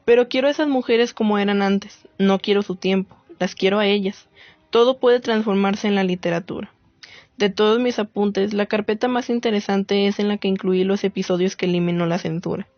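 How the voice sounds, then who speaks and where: A woman playing a reflective writer, narrating a radio play in a solemn, deliberate, literary way. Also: mid-range voice, dry recording, highs cut off, natural voice. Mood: solemn reflection. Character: reflective woman writer narrating her search for women's war stories.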